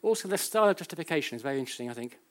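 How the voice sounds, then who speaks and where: A man's voice: fairly high pitch, expressive. A British man giving an academic lecture in a conversational, explanatory way, often repeating and correcting himself and posing rhetorical questions. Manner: halting, self-correcting, with frequent repetitions. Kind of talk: public lecture, explanatory and anecdotal.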